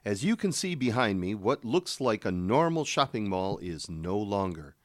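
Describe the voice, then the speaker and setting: A man's voice: on the low side, very animated, normal speed, no echo, clean studio sound. A man playing a smug, sexist fundamentalist news reporter, condescending and bombastic, in a radio drama parody. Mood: earnest reporting.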